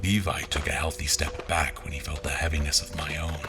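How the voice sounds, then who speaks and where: A brooding adventurer telling his tale, super deep voice, music underneath. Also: level tone, a little fast. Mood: tense, suspicious. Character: brooding, suspicious male adventurer recounting his journey. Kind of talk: scripted first-person story narration.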